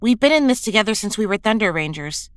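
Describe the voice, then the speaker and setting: A woman's voice: medium pitch, lively intonation, quick pace, smooth, dry recording, lightly acted. Woman acting a supportive young superhero, dry sound. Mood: reassuring. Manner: casual, sincere, reassuring. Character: supportive, teasing college-age superhero woman. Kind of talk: superhero audio drama acting.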